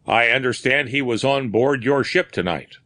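A man doing a businesslike police officer, worried and brisk, firing short questions. The voice stays near a plain man's reading voice, bright and forward, with a dry, close sound. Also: medium pitch, dry recording, pitched above the performer's own voice, natural voice.

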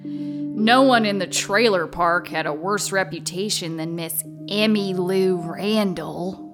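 A woman's voice: on the low side, slow pace. An actress playing a bitter, scornful young woman from a trailer park in a horror radio drama, sarcastic and cutting. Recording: music bed underneath.

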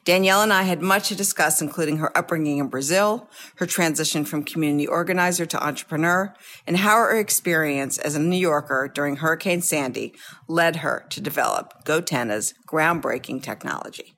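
A woman hosting a podcast interview, reading a scripted intro and then chatting with enthusiastic quick interjections. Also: sits low, a bit bright. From United States. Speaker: podcast host. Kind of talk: conversational interview.

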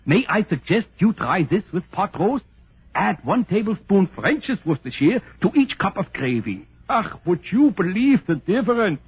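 A man playing an effusive food-loving cook in a comic radio advertisement, with a raspy voice and theatrical manner. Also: sits high, sing-song, moderate pace, no room sound, full character voice. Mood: gushing. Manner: gushing, theatrical, chuckling. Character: effusive, food-loving old-time cook pitching seasoning. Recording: muffled old radio broadcast.

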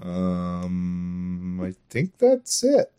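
A man's voice: deep voice, wildly swooping pitch, drawn-out words, warm tone, clear, resonant tone. A man talking casually and off the cuff as a guest on a podcast interview. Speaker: podcast guest, audio drama creator and voice actor. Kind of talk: casual unscripted interview chat.

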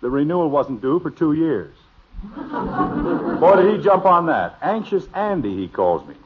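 A man's voice: a little high, very animated, normal speed, narrow, tinny audio, noisy background. An American actor playing a stubborn, teasing middle-aged father in a 1950s radio comedy, blustery and emphatic, on an old broadcast recording. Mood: exasperated.

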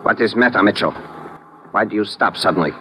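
An actor playing a fanatical, polite Japanese villain in a 1950s radio drama, with a Japanese accent and formal, clipped grammar that drops articles. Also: male voice, fairly low pitch, a little fast, bright, forceful tone. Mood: wary courtesy. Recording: noisy old 1950s radio recording.

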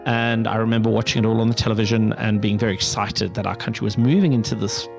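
South African man, thoughtful and halting. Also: lowish voice, fairly even intonation, vocal fry, over music. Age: middle-aged. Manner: thoughtful, many fillers and restarts. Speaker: executive director of a pride center. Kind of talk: interview answers.

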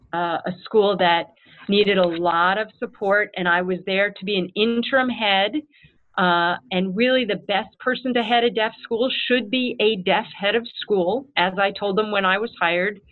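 A woman who heads a school, answering interview questions in an earnest, animated way and acting out her own past thoughts. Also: medium pitch. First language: English. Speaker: head of school. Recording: tinny phone-like line.

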